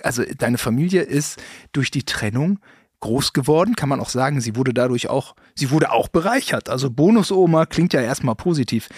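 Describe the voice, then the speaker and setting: A man's voice: on the high side, no room sound, clean studio sound. An adult man hosting a casual interview, chatty and joking, with a slight accent and lots of fillers and trailing questions. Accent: slight non-native accent. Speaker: podcast host.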